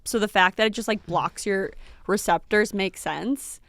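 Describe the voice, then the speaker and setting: A woman's voice: mid-range voice, moderate pace, gravelly, a bit bright, dry recording. Chatty woman in her late twenties. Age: late 20s. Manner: chatty, fillers and little laughs. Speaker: podcast co-host. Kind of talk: casual chat.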